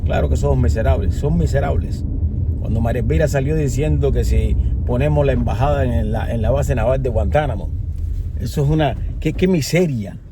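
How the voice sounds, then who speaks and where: Blunt Cuban man over loud traffic. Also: mid-range voice, brisk. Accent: Cuban Spanish. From Cuba. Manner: blunt, heated, rambling with restarts. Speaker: vlogger. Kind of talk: opinionated monologue. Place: outdoors by a busy street. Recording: loud traffic noise outdoors.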